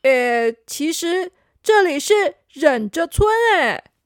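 A woman's voice: high voice, animated, takes it slowly, no room sound, studio quality. A woman voicing an older boy in a children's story, surprised and puzzled. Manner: surprised, frowning.

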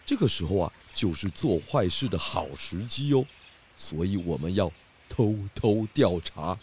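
An actor playing a calm, clever pig detective in a children's radio drama, patient and instructive. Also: male voice, on the low side, a little hiss or hum.

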